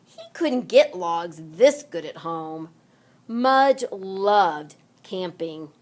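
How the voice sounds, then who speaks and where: A woman narrating a children's read-along story aloud in an expressive, deliberate manner. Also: on the low side. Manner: deliberate, animated delivery for children.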